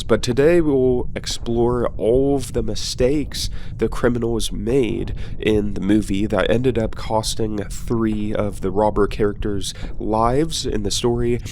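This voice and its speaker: A man hosting a weapons channel with a cold and a sniffly voice, talking through a film in a relaxed, rambling way with plenty of ums and uhs. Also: lowish voice, slight background noise. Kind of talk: casual film-weapons commentary.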